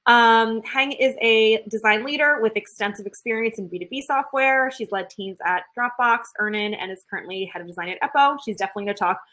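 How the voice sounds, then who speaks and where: A woman's voice: fairly high pitch, a little fast, hardly any pauses, bright, forceful tone. A young woman hosting and introducing a webinar in an upbeat, casual way with frequent giggles. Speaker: webinar host.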